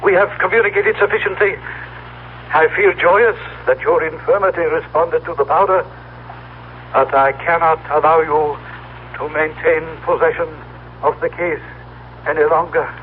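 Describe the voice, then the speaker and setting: An actor playing a solemn, proud telepathic being from the future, speaking in formal, precise, stilted phrases as in old radio drama. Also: male voice, a little high, fairly even intonation, normal speed, lightly acted. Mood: grave, insistent. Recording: tinny, noisy old radio broadcast recording.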